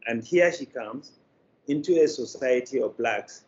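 A man's voice: medium pitch, darkish tone. Older Nigerian man, dull noisy call. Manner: deliberate, formal wording with frequent pauses. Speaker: interview guest, media producer. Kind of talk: measured interview answers. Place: plain indoor room, video call.

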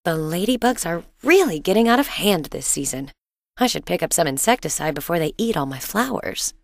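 Sweet, expressive suburban mom. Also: female voice, fairly low pitch, a little fast, no echo. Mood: cheerful, fond. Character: cheerful, doting suburban wife and mother, inquisitive journalist. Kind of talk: video game character voice acting.

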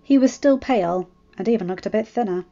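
A woman's voice: mid-range voice, fairly quick, mellow. A woman reading a story aloud as a scripted narration. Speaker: amateur fan fiction narrator. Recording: muffled lo-fi recording, music behind. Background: music.

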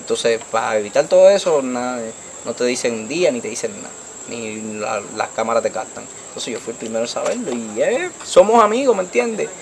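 A young Dominican man with a Puerto Rican accent telling his story in a street interview, animated and checking the listener follows him, into a handheld mic outdoors at night. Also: mid-range voice, fairly quick, creaky, slight background noise. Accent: Puerto Rican Spanish. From Dominican Republic. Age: young adult. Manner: animated, gesturing, repeats "tú me entiendes". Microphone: handheld mic held by interviewer.

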